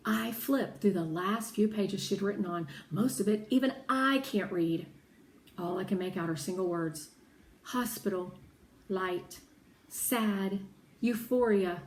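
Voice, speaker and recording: Female read-aloud narrator, unhurried and deliberate, expressive storytelling, echoey room. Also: mid-range voice. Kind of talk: expressive children's audiobook narration. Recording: roomy echo, faint background noise.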